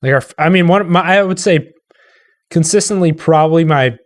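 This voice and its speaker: A man's voice: fairly high pitch, moderate pace. A man co-hosting a livestream, chatting casually while playing a game. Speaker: livestream co-host.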